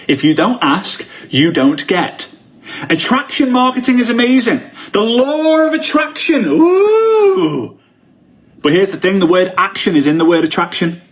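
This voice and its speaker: A man with a Liverpool accent giving a motivational online training talk as a coach, excitable and emphatic, repeating phrases for effect. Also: high voice. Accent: Liverpool English. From Liverpool, United Kingdom. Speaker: network marketing coach.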